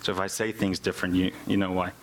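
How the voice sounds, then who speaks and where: Halting South African man over background noise. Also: sits low, little pitch movement. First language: Afrikaans. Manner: halting, repeats words while searching for phrasing. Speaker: worship musician and music teacher giving a testimony. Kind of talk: informal personal testimony to a church audience.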